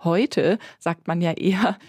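A woman co-hosting a book podcast, talking in a lively, friendly way about what she read. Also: fairly low pitch, measured pace, no echo, studio quality. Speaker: podcast co-host, book critic. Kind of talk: casual book discussion.

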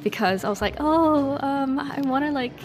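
A woman's voice: high voice, moderate pace, music in the background. A woman in her twenties from Taiwan, a native Mandarin speaker, recalling her school years in a chatty interview. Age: 20s. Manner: chatty, with fillers like "like" and "um". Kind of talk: casual personal recollection.

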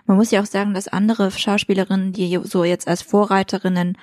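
A woman's voice: medium pitch, little pitch movement, brisk, a little mellow, no room sound, studio quality. A woman hosting a podcast interview, asking probing questions and affirming her guest. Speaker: podcast host.

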